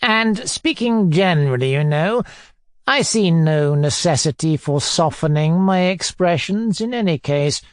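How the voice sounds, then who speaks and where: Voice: medium pitch, animated, measured pace, no echo, studio quality, voice pushed up, lightly acted. A narrator voicing a pompous, bullying middle-aged man who hectors and sneers, in an audiobook. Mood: pompous, nonchalant. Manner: pompous, majestic nonchalance, hectoring questions. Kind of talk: audiobook character dialogue.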